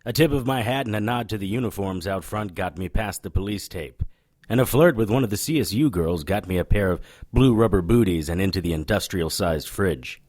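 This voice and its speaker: An actor playing a cocky, world-weary supernatural detective in a noir audio drama, sardonic and flippant. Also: male voice, lowish voice, lively intonation, fairly quick, a little mellow, no room sound. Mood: brooding, dry.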